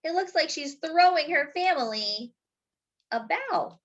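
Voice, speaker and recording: A slightly dull recording of a woman hosting storytime for young children. Her voice is fairly high and swoops expressively as she reads a picture book at an unhurried pace, drawing out words and adding asides for her listeners. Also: animated, fairly bright tone.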